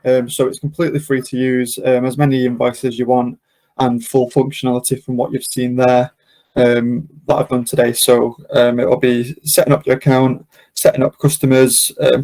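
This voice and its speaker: A man presenting a live software demo and question session, explaining step by step in an informal, hesitant way. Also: mid-range voice, little pitch movement, moderate pace, rough recording. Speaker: webinar presenter and software demonstrator.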